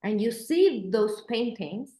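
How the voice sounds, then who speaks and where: A woman's voice: on the high side, lively intonation, slow pace, warm tone. Woman in an echoey room, searching for words. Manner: searching, restarts phrases, many "like" fillers. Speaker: documentary filmmaker and moderator. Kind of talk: casual panel discussion. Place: panel event room.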